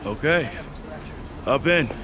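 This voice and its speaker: A man's voice: medium pitch, expressive, takes it slowly, music in the background. An actor playing a guarded, terse policeman in a video game.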